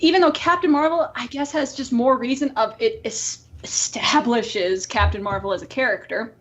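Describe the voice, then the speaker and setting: High-voiced woman, emphatic film talk. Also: normal speed, a bit bright, highs cut off. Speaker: podcast co-host. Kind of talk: casual opinionated film discussion.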